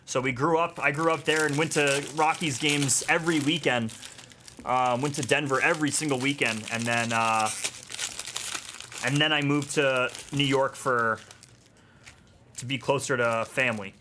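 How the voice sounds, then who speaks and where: A man's voice: mid-range voice, fairly even intonation, normal speed. An American man hosting a live card break, joking and talking straight to his chat. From United States. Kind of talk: casual live-stream chat. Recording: noisy with card-pack crinkling.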